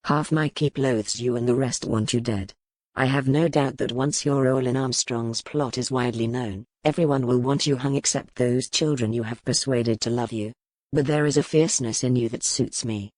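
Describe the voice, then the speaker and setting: A man's voice: medium pitch, steady tone, normal speed, darkish tone, no room sound, lightly acted. Male actor as brooding duke, medieval warlord, stern, commanding, formal period speech, audiobook drama. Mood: grim, reflective. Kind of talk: dramatic audiobook character acting.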